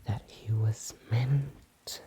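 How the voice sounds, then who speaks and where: A woman softly narrating an ASMR bedtime story in a hushed, breathy whisper, with long pauses between short phrases. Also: rumbling low, steady tone, background noise. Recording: muffled sound with rain behind. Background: soft rain.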